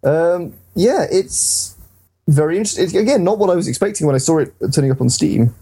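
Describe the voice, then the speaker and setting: A man's voice: on the high side, expressive, fairly quick, dark tone, husky. A man hosting a podcast in casual, informal conversation about video games, with the odd mild swear. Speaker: podcast host.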